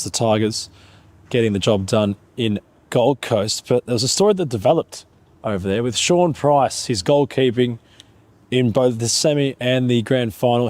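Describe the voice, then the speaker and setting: A man's voice: medium pitch, a little mellow. A man hosting sports interviews, asking questions in formal, polished presenter phrasing.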